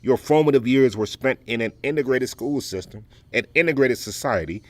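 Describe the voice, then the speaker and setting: An actor playing a dignified, proud Southern educator and orator in an audio play, speaking in a formal, measured, courteous way. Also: male voice, medium pitch, natural voice. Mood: stung, measured. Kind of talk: dramatic audio play dialogue.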